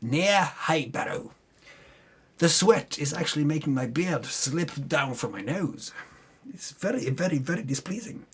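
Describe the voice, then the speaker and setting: A man with a fairly high, husky voice reads a story recap as theatrical narration. His pitch swings widely for dramatic effect. He often breaks off into sweary, off-the-cuff asides about his own slips. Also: a bit bright. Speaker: host recapping a tabletop game campaign.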